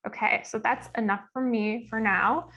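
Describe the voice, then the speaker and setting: A woman with a fairly high voice moderates an event over a slightly muffled video call. She introduces things in an informal, somewhat hesitant way, moving between loose remarks and reading aloud. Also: fairly even intonation, moderate pace, pushed and bright.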